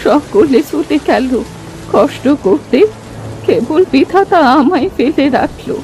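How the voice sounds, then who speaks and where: Woman acting a high-voiced, plaintive old village granny. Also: animated, slow pace, a little mellow, faint music behind, poor audio. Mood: wistful, sorrowful. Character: kindly lonely poor old village woman, wistful and grateful. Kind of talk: Bengali cartoon folk tale dialogue.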